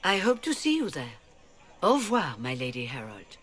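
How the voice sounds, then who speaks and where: A woman's voice: sits low, huge pitch swings, moderate pace. A woman playing a dignified, courteous mage rebel leader in a fantasy game, formal and composed. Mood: composed invitation.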